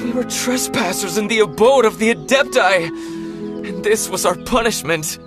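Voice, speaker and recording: A man's voice: high voice, moderate pace, music in the background, close to an everyday voice. An actor playing a poor, humble young male treasure hunter in a fantasy game, fretful and fawning as he pleads. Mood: remorseful, pleading. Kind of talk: dramatic fantasy game voice acting.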